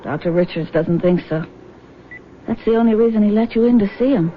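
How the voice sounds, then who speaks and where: An actress playing a concerned, brisk grown daughter, speaking earnestly and directly in 1970s radio drama style. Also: female voice, mid-range voice, natural voice. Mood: grave, gentle. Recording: noisy, dull vintage radio broadcast.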